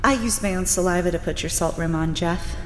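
A woman's voice: fairly low pitch, brisk, lightly acted. A woman acting in an audio drama as a gleeful, reckless female villain with a teasing, sing-song manner. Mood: gleeful, mischievous. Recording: roomy, echoing hall.

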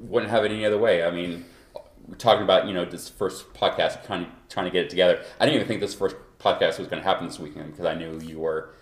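A man's voice: low voice, steady tone, quick pace. A man from Kansas hosting a podcast in loose, rambling casual chat, feeling a bit unwell. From Kansas, USA. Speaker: podcast host. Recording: echoey room recording.

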